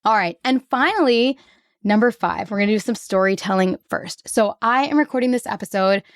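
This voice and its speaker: Slightly hoarse, chatty woman podcaster. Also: mid-range voice, expressive, a little fast, dry recording, studio quality. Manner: upbeat, grateful, chatty with frequent "like". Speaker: podcast host. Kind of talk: solo podcast monologue.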